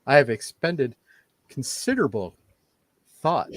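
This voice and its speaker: A man acting an earnest, precise android officer in a fan radio play, with formal, measured wording. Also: mid-range voice, huge pitch swings, no echo. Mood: earnest, pondering. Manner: formal, measured, wordy phrasing.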